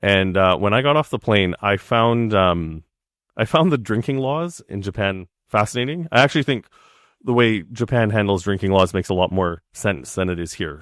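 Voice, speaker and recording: Man, 40s, podcast co-host, rambling storyteller, deep-ish voice, dry sound. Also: fairly bright tone, no echo. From Canada. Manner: storytelling, chatty with frequent ums. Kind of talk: casual chat.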